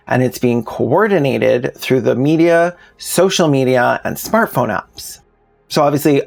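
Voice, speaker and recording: A man's voice: medium pitch, gravelly. A man narrating a scripted commentary video in a plainspoken, informal way with sardonic asides. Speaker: video essayist, host.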